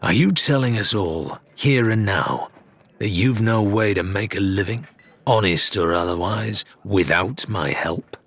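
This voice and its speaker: A man voicing a gruff, defiant pirate captain with a growling, blustering, scornful delivery in an audiobook. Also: lowish voice, measured pace, a bit bright, exaggerated voice.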